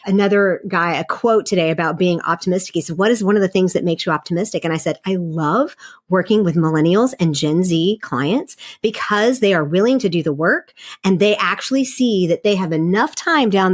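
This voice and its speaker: A woman's voice: fairly low pitch, moderate pace. A woman, a guest in an interview, speaking in an earnest, emphatic way. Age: 50s. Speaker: podcast guest, leadership coach. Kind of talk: casual interview conversation.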